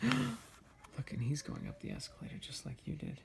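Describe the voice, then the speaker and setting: Dad reading a picture book to his kid, gentle, chatty, asks little questions. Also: mid-range voice, huge pitch swings, moderate pace, creaky, background noise. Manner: gentle, questioning, conversational with a child. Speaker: father reading aloud to his child. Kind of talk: storybook read-aloud with casual asides.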